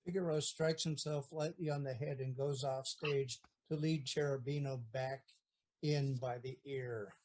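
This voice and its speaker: A narrator reading stage directions in an audio play in a plain, measured way. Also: mid-range voice, fairly even intonation, a little mellow.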